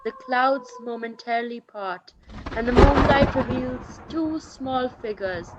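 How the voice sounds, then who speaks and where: A woman narrating a scripted radio play in a measured, atmospheric, dramatic storytelling manner. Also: high voice, very noisy. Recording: dull lo-fi sound with music.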